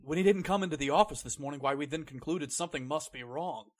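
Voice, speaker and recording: Uneasy male office employee, played by a male actor, worried and hesitant, husky edge, old-time radio thriller. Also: a little high, quick pace, lightly acted. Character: anxious, uneasy male employee searching his employer's gloomy house.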